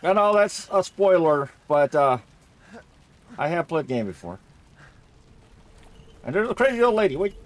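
A man's voice: on the high side, sing-song, normal speed, long pauses. A man giving casual video game playthrough commentary, jokey and thinking aloud as he goes.

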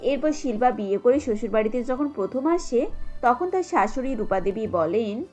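A woman's voice: a little high, animated, moderate pace, darkish tone, faint music behind. A woman giving scripted narration of a cartoon family story.

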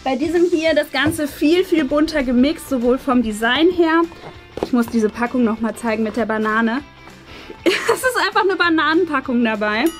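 A woman in her thirties with a high voice hosts a casual unboxing. She chats and explains to her viewers, with faint music playing behind her. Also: expressive, normal speed. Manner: chatty, explanatory. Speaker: host of an unboxing channel. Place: small furnished indoor room. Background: faint background music.

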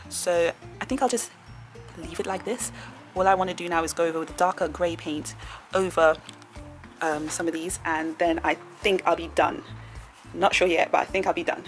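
Woman mumbling thru her painting, lowish voice, umms a lot, faint music. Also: fairly even intonation, normal speed. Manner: hesitant, thinking aloud with fillers. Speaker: DIY vlogger. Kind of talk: casual commentary while working.

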